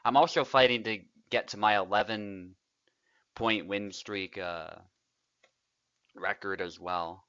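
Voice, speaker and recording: A man's voice: on the low side, takes it slowly, pauses often, clear, resonant tone, no room sound, lo-fi sound. Chatty young man commentating. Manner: relaxed, chatty, laughs often, trails off quietly. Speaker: tournament player and commentator. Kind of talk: casual livestream commentary.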